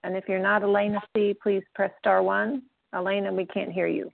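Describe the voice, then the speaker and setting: A woman chairing a meeting, brisk and organized as she calls on people to share. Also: mid-range voice, flat delivery, creaky, no room sound. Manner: brisk and organized, calling names. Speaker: meeting leader. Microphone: phone call. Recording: tinny dry phone line.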